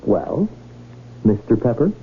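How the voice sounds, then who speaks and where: A man acting a shrewd, courtly lonely rich gentleman in a 1970s radio drama, with formal, florid, deliberate speech. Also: medium pitch, big pitch swings, breathy edge, heavily acted. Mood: explaining, smug. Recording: tinny, noisy old radio broadcast.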